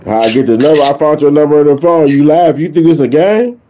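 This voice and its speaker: A man's voice: fairly high pitch, quick pace, dark tone. A middle-aged American man talking as a streetwise prank caller, confronting someone and repeating himself, recorded on a phone at arm's length. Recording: noisy phone-camera recording.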